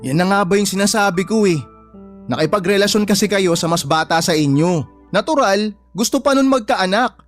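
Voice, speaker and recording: Man acting a high-voiced, blunt Filipino son. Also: a little fast, faint music behind, lightly acted. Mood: exasperated, blunt. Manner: blunt, earnest. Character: protective adult son, blunt and exasperated. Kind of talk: Filipino radio-style drama acting.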